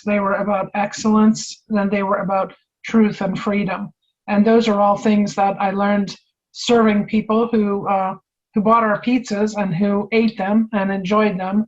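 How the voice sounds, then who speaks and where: A woman academic from Maine speaks in a measured, earnest way, with occasional hesitations. Her pitch barely moves, and the video-call audio sounds dull and muffled. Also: a little mellow. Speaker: panelist, academic. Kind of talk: online panel discussion.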